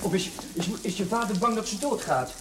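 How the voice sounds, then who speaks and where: An actor playing a kindly, flustered village chaplain in a television period drama, talking haltingly and repeating himself. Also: male voice, high voice, expressive, echoey room, recorded from a distance. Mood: soothing, flustered.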